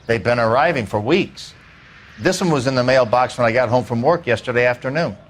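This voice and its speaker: A man's voice: mid-range voice, fairly quick, close to an everyday voice. A man playing a blunt, middle-aged working-class neighbour in a 1940s detective game, plain-spoken and colloquial. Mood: helpful. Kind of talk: video game dialogue, witness interview.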